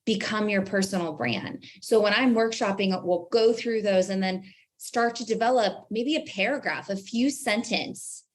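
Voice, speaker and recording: A woman's voice: mid-range voice, normal speed. An American woman speaking as an interview guest and branding coach, explaining emphatically and posing rhetorical questions.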